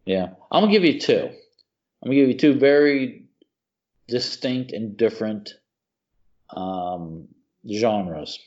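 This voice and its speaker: A man's voice: lowish voice, animated, slow, long pauses, a little mellow, highs cut off. A man co-hosting a casual podcast, talking in an effusive, slangy way and repeating himself for emphasis. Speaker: podcast co-host. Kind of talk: casual chat about video games and movies.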